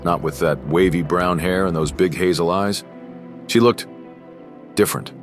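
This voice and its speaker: Man playing a cocky low-voiced teenage rich boy. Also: normal speed, over music, lightly acted. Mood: bored, then intrigued. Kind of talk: scripted first-person story narration with acted dialogue.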